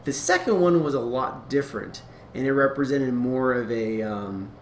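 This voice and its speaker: A man with a mid-pitched voice talks through an explainer in an informal, halting way, circling back to rephrase himself. The room echoes around him and there is clear background noise. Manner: halting, informal, rambling delivery with frequent self-corrections. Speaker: fan-theory video creator. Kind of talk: casual explainer commentary.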